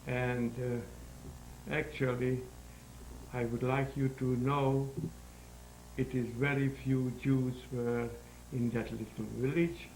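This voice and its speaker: Elderly male guest, halting phrasing, slow and creaky, echoey room. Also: medium pitch, little pitch movement, darkish tone. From Hungary. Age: 90s. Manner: halting, searching for words, non-native grammar. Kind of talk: interview answers. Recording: roomy echo with faint hum.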